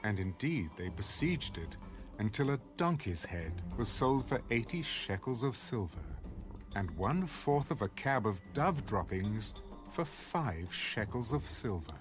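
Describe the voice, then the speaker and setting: A man's voice: fairly low pitch, big pitch swings, a little slow, over music. A man narrating scripture from a script in a dramatic audio Bible style.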